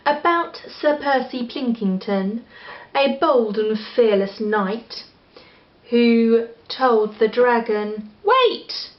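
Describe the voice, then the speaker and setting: A woman with an English accent reading a children's story aloud in an expressive style. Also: on the high side, takes it slowly. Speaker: storybook reader. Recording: echoey room, slightly dull sound.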